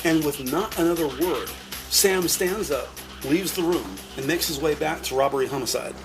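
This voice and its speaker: A man reading scripted descriptive narration in a measured, announcer-like way. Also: fairly high pitch. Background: background music.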